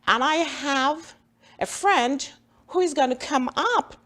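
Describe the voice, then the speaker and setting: Lively middle-aged woman TV host. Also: sits high. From Jamaica. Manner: upbeat, laughs easily, prompting questions. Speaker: show host, internist. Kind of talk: TV health interview. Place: bright TV studio set.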